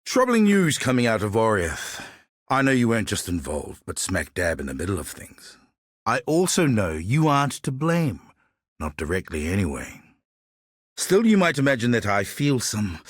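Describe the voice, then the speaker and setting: A sly, world-weary barber who trades in secrets for a video game. His husky voice sits fairly low and swings expressively through wry, pointed phrases. The recording is close and dry. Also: big pitch swings, normal speed, no room sound. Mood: wary, resentful. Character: sly, gossiping Karui barber and informant, world-weary man. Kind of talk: scripted video game character dialogue.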